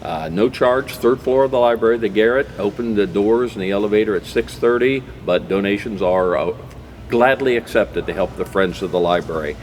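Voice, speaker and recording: Guy doing a hometown interview show, really low voice, folksy, noisy background. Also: a little fast, a little mellow. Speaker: host of a local community interview show. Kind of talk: conversational interview. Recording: clearly noisy background ambience.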